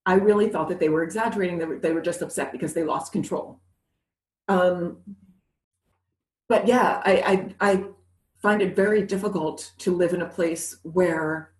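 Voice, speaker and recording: A woman's voice: on the low side, a little slow. A woman from New City, talking candidly and with animation as an interview guest. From New York City. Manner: candid, animated, laughs easily. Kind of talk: conversational interview. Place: living in Montreal. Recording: roomy echo.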